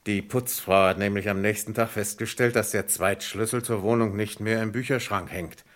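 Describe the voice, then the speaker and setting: Low-voiced sceptical police commissioner played by a man. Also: steady tone, moderate pace, lightly acted. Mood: matter-of-fact, hesitant. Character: sceptical, methodical male French police commissioner. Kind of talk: German radio crime drama acting.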